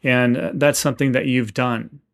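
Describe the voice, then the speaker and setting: A man's voice: mid-range voice, fairly even intonation, normal speed, gravelly. A man hosting an interview podcast who asks earnest, long-winded questions and often restarts his sentences. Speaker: podcast host.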